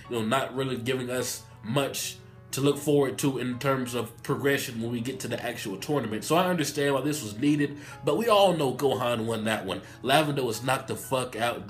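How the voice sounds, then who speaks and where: A man who has a cold and sounds nasal, giving a hyped, profane, rambling review of an anime episode. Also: medium pitch. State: sick, nasal. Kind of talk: excited casual review vlog.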